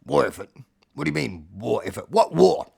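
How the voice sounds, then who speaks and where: A man's voice: mid-range voice, big pitch swings, fairly quick, vocal fry, dark tone, husky, heavily acted. A man voicing a grumpy, indignant male cat who is fussy, sweary and blunt, scolding and repeating himself. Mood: incredulous.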